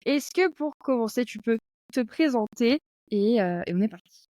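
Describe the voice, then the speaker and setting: A woman's voice: on the high side, expressive, normal speed, warm tone. A woman hosting a podcast, asking questions in a friendly, upbeat way. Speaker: podcast host. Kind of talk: casual podcast interview. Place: near Paris.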